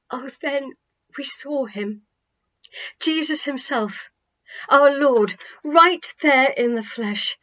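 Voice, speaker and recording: A woman's voice: high voice, fairly bright tone, pitched above the performer's own voice, exaggerated voice. Storybook role: overjoyed devout woman witness, played by a female narrator, rambling and breathless; slightly dull recording. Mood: overjoyed, tearful. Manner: breathless, gushing, halting delivery.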